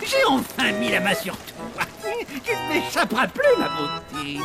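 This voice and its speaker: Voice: medium pitch, gravelly, full character voice, low-quality recording. An actor playing a theatrical, sinister mad scientist uncle in a cartoon, gloating and bossy, over music. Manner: gloating, theatrical, with villainous laughs. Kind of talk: cartoon villain voice acting. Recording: music behind the voice.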